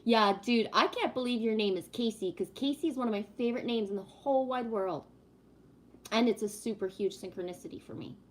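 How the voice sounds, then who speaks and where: A woman's voice: on the high side, brisk, slight background noise. A woman in her fifties hosting a livestream, chatting casually and greeting viewers while talking about spiritual topics. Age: 50s. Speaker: livestream host.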